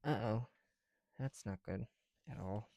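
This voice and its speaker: A man chatting casually in an ASMR style as a video creator, muttering softly and sometimes dropping into a whisper, with mild swearing. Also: fairly low pitch, takes it slowly, gravelly. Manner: casual, mildly profane. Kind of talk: ASMR, casual chat while building with bricks.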